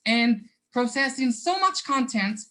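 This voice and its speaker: Moroccan-accented male academic presenting remotely, bright clear voice, earnest and explanatory, lots of fillers. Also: extremely high pitch, lively intonation, measured pace. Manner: earnest, explanatory, frequent "um" fillers and self-corrections. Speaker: conference presenter, assistant professor.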